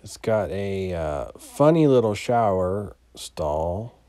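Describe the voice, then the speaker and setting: Low voiced guy, kinda mellow and dry sound, showing a place around, matter of fact, halting. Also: big pitch swings, dry recording. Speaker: landlord giving a property walkthrough. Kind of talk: casual unscripted walkthrough narration. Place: inside an empty mobile home, starting on the porch.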